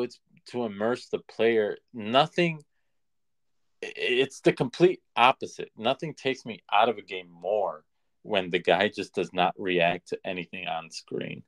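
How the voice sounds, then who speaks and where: Lower-pitched man in casual, opinionated chat. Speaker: podcast co-host.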